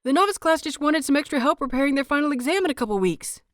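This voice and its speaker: A woman voicing a flustered, eager young male disciple in an audiobook story, stammering and rushing in a strained way. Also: sits high, animated, no room sound, studio quality, pitched above the performer's own voice. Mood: flustered, rushed. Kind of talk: dramatised audiobook reading.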